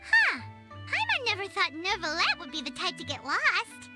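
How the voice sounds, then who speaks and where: A tiny chirpy fairy companion in a video game. The voice is squeaky, extremely high and very animated, exclaiming with childlike curiosity. Music plays prominently behind it. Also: female voice, moderate pace, heavily acted. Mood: surprised. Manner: refers to herself in third person, exclaiming.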